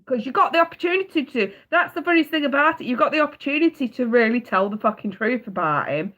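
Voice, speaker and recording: A woman's voice: high-pitched, expressive, fast talker, bright, forceful tone, highs cut off. Blunt, cussing woman with a cold. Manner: blunt, swears freely, fired up. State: stuffy nose from a cold. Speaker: tarot reader. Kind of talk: live-stream tarot reading.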